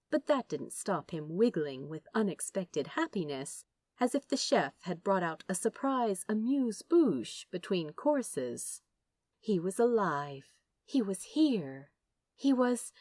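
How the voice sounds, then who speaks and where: A woman reading a story aloud as a narrator, with dramatic, deliberate phrasing and drawn-out words. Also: mid-range voice, darkish tone, dry recording. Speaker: audiobook narrator. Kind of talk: expressive scripted story narration.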